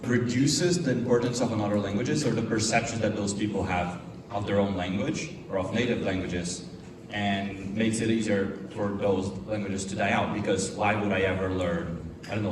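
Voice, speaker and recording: A student speaking earnestly. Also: male voice, on the low side, steady tone, normal speed. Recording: distant, echoey hall with noise.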